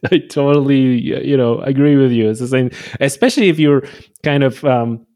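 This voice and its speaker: Guy hosting a podcast, mid voice, excited, keeps restarting sentences. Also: mid-range voice, normal speed. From Poland. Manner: enthusiastic, rambling, many restarts. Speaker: podcast host. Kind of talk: conversational interview.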